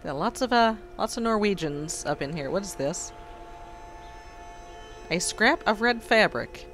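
A hoarse man, his voice still froggy after an illness, hosting a let's play and tossing in wry, sarcastic asides. He talks slowly at an ordinary pitch. Soft game music plays faintly underneath. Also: lively intonation. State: hoarse after being sick. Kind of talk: casual game commentary.

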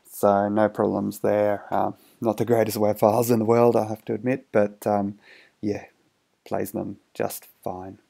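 A man's voice: lowish voice, little pitch movement, unhurried, gravelly, mellow. A man casually narrating a hands-on electronics demo in a hesitant, understated way, thinking aloud. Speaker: electronics hobbyist presenter.

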